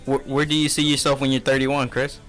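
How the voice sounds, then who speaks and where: A man's voice: mid-range voice, flat delivery, brisk, fairly bright tone, soft background music. A teenage man hosting a youth radio show, chatting casually with lots of fillers and laughter. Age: teens.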